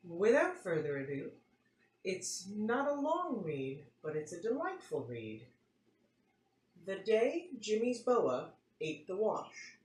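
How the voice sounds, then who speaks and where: A woman in her thirties chatting casually as she introduces a picture book, expressive and enthusiastic. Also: deep voice. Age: 30s. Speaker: host of a picture-book read-aloud series. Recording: roomy, faint noise, distant mic.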